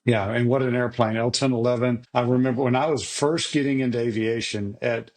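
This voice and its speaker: A man's voice: medium pitch, steady tone, a little fast, darkish tone. Enthusiastic middle-aged male podcast host. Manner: enthusiastic, folksy, with many restarts. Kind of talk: casual interview chat.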